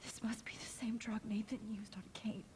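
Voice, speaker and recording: An actress playing a frightened but determined captive teenage girl in a video game, breathy and distressed. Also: fairly high pitch, level tone, moderate pace, a little mellow, lightly acted. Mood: grim, determined. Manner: breathy, distressed, often near a whisper.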